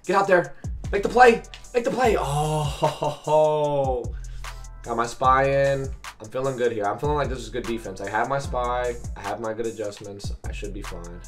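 Hoarse young man, gaming coach commentary, slangy repeated phrases, music behind. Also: medium pitch, normal speed. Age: 20s. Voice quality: raspy. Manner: repeats short phrases, informal sports slang. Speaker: gaming video host and coach. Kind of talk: excited live gameplay commentary. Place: small bedroom, indoors.

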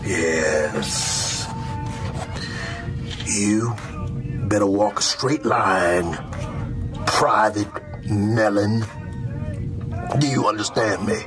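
A middle-aged American man playing a stern, mocking army drill sergeant, barking intimidating, deliberate lines in a comedic roleplay. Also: lowish voice, music in the background, low-quality recording. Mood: stern, commanding. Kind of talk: comedic military ASMR roleplay.

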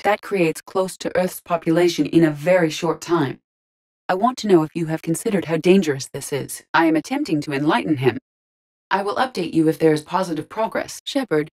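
A female starship AI living in an android body, voiced for a sci-fi video game. Her voice is low for a woman. She speaks in measured, formal phrases, logical and composed, keeping emotion tightly restrained. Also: a little mellow. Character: calm, logical female ship artificial intelligence in android body. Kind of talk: scripted video game voice acting.

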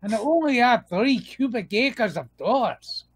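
A man's voice: extremely high pitch, very animated, unhurried, a little hiss or hum, full character voice. A man voicing a stingy, grumpy old duck uncle in a cartoon comic dub. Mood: grumbling.

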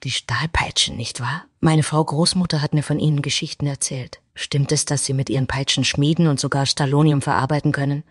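A man voicing a blunt, resolute female goblin barbarian in a fantasy audio drama, with a husky voice and deliberate, dramatic delivery. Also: a little high, fairly even intonation, no echo, clean studio sound, close to an everyday voice. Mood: awed, curious.

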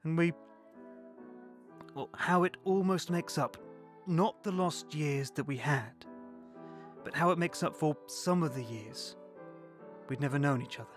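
A man's voice: fairly high pitch, soft background music, lightly acted. A man playing a grown son remembering his father. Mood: reflective. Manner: slow, reflective. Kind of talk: radio play monologue.

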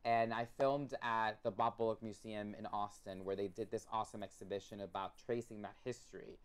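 A man's voice: lowish voice, steady tone, brisk, clean tone. Eager talkative young man from Puerto Rico. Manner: eager, talkative, many restarts and fillers. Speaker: documentary filmmaker guest. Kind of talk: casual interview chat. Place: home room full of collectibles. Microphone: video call webcam.

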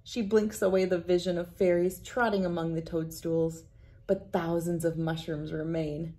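A woman in her thirties reading a children's picture book aloud in a deliberate, expressive storytelling manner. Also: lowish voice. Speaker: read-aloud host. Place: indoors, wood-panelled room with large windows.

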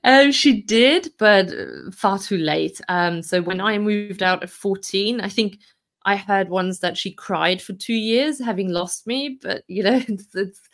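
A woman in her forties from Austria speaks as a podcast guest, telling her own story in a reflective way with soft laughs and fillers. Her pitch sits in a middle range, neither high nor low. She talks candidly and keeps going through long stretches. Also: unhurried. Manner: reflective, laughs softly between hard memories, many fillers.

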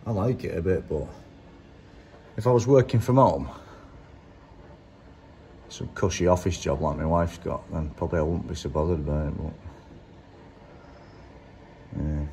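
Blunt, rambling British mechanic, forties, filming himself on a phone, noisy workshop behind. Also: male voice, a bass voice, moderate pace, creaky, long pauses, mellow. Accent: British English. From United Kingdom. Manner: blunt, chatty, rambling with fillers. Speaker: car mechanic vlogger. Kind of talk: casual vlog chat. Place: indoor car workshop. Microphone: phone held at arm's length.

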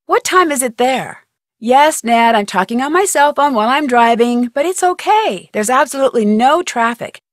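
An actress playing a polite, plucky young American woman detective in a video game, speaking earnestly and clearly. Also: high-pitched, animated, normal speed, no room sound, clean studio sound. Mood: breezy. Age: young adult. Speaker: player character, detective.

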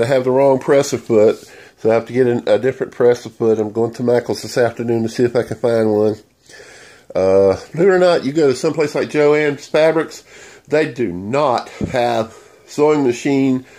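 A man's voice: medium pitch, warm tone. A man with a stuffy voice from a cold, giving a rambling, plain-spoken how-to demonstration into a handheld phone in a sewing room. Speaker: DIY channel host. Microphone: handheld phone camera.

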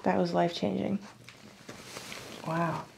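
A woman's voice: on the low side, flat delivery, slow pace, creaky, darkish tone. A young woman as a patient, reacting casually in short remarks. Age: young adult. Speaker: chiropractic patient. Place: small office, indoors. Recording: echoey, distant, noisy room.

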